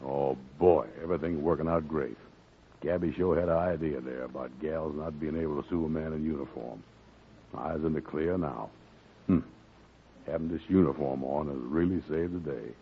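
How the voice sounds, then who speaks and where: A man's voice: extremely low pitch, moderate pace, slight background noise. An actor playing a bumbling man who poses as a soldier, stammering and bluffing in a 1940s radio comedy. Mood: pleased. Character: bumbling smooth-talking man posing as soldier. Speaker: Andy, comic lead. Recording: tinny old radio broadcast.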